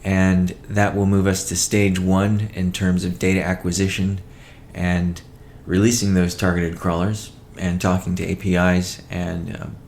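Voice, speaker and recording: A man presenting a company update as a chief executive, in a measured, matter-of-fact way with halting phrasing. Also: low voice, monotone, creaky, a little mellow, a little hiss or hum. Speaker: company CEO hosting a weekly call. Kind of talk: business update presentation, partly read from notes.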